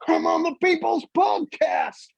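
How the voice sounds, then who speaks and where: A man voicing an excitable, childlike, starstruck puppet in a gushing, exclamatory manner for a live puppet show. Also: very high voice, unhurried, gravelly, highs cut off, background noise, voice pushed up, full character voice. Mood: overjoyed, starstruck.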